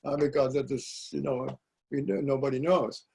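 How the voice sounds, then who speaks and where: An older man whose first language is Dutch, a poet being interviewed, speaking reflectively and pausing often to search for words. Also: medium pitch, fairly even intonation, vocal fry, darkish tone, slightly rough. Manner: halting, searching for words, frequent restarts. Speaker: poet guest.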